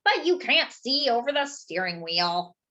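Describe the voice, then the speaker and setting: Reasonable, mildly fussy storybook man, read by a woman, reassuring and earnest, pitched high with animated inflection. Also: unhurried, lo-fi sound, exaggerated voice. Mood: fussy, doubtful. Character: kindly, reasonable, slightly fussy grown man.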